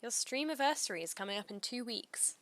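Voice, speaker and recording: A woman streamer with a dry, understated delivery, reading aloud and chatting casually in a deadpan way. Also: a little high, a little fast.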